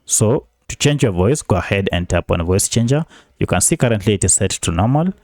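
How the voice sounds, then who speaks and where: A man's voice: fairly low pitch, lively intonation, fairly quick, a little mellow, dry recording, clean studio sound. A man hosting an app tutorial, giving polite step-by-step instructions. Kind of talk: screen-recording tutorial narration.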